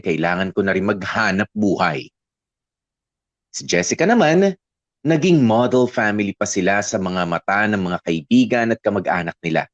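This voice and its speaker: An actor narrating as a young man reading his own letter in a reflective, measured way for a radio drama. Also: lowish voice. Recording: dull lo-fi radio audio.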